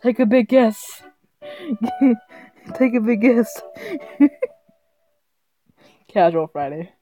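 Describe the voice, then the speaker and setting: A woman's voice: on the high side, lively intonation, very slow, dark tone, no room sound, faint music behind. A woman streaming a visual novel, reading it aloud casually, often stumbling over the words in a giggly way. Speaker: game streamer.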